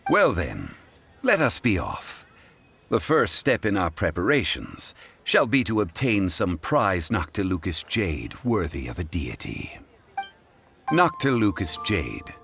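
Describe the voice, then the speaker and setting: A man playing a composed, scholarly funeral consultant in a video game, speaking formally and eloquently in measured phrases. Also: sits low, wildly swooping pitch, gravelly, fairly bright tone, faint music behind, exaggerated voice. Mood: calm, reflective. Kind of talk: scripted video game character dialogue.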